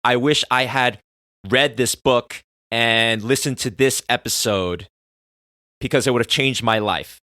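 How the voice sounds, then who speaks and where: A man in his thirties, a native Korean speaker, hosting a solo podcast and talking earnestly, moving between soft musing and loud excited bursts. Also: fairly low pitch, no echo, clean studio sound. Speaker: podcast host.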